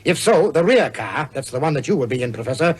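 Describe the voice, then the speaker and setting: An actor playing a cold, commanding criminal gang leader in a radio thriller, clipped, authoritative and coolly threatening. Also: male voice, a little high, big pitch swings, quick pace, husky. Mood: stern. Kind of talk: 1970s radio thriller acting.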